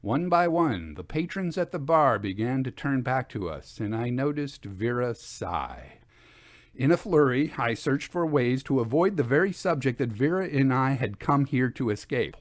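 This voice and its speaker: A man narrating a scripted first-person story in a measured, expressive, literary manner. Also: medium pitch, no echo, studio quality.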